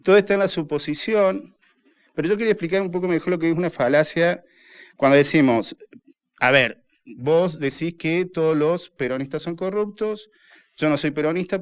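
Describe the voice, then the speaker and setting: A man's voice: fairly high pitch, fairly quick. A man with an Argentine accent, a radio guest who lays out his arguments step by step like a lecture. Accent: Argentine Spanish, voseo. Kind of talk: radio discussion.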